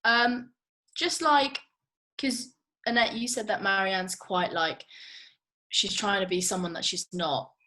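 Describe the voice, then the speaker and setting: A woman with a middle-pitched voice throws ideas into a casual script discussion. She is tentative, hedging and feeling her way through each suggestion. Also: slow, long pauses, bright, forceful tone, low-quality recording. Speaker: participant in a script table read. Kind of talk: casual brainstorming.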